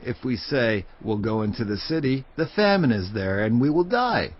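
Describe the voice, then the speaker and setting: An actor playing a desperate, starving leper in a dramatised audio Bible, speaking with earnest urgency. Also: male voice, mid-range voice, big pitch swings, normal speed. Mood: desperate resolve. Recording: slightly dull, muffled audio.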